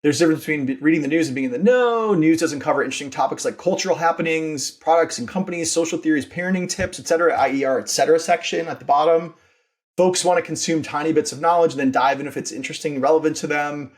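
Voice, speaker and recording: A man with a slightly high-pitched voice talks as a podcast guest. He is informal and enthusiastic, explaining things in a casual, emphatic interview style. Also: quick pace. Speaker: podcast guest, company founder and CEO.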